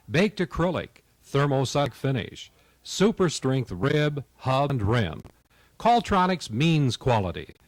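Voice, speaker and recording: Male ad voice, lively, dry close-mic sound. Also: medium pitch, dry recording. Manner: punchy salesman delivery. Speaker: commercial announcer. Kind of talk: scripted ad read.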